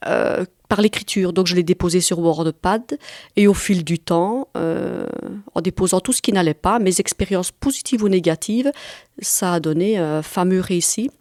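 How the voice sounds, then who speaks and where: A woman's voice: lowish voice, darkish tone, no echo, clean studio sound. A woman in her forties with a Belgian French accent, answering interview questions conversationally and hesitantly as she searches for words. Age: 40s. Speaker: guest author and artist.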